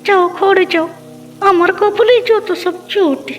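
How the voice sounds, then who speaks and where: Woman playing a stingy shrewish cartoon aunt, nagging, shrill high voice. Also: fairly even intonation, a little slow, dull audio, a little hiss or hum. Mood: scornful. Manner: scornful, nagging. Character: sharp-tongued stingy middle-aged aunt. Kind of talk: Bengali cartoon dubbing.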